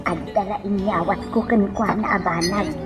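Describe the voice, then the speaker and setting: A woman's voice: mid-range voice, fairly even intonation, moderate pace, over music, close to an everyday voice. A woman playing a troubled servant in a radio drama, speaking in a grave, ominous manner as she warns of danger. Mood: solemn, urging.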